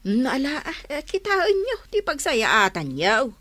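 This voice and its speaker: An actress with a Filipino accent playing a friendly, expressive woman in a family radio drama. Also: high-pitched, slow pace, no room sound, full character voice. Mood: motherly, approving.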